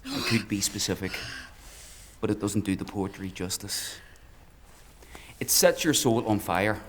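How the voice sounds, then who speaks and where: An actor playing a sadistic, fanatical villain in a dark fantasy audio drama, with taunting, declamatory delivery and a gravelly voice. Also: male voice, lowish voice, a little slow. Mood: quiet gloating. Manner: taunting, declamatory, swings from hushed to screaming. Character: sadistic zealous male villain, menacing, theatrical, fanatical.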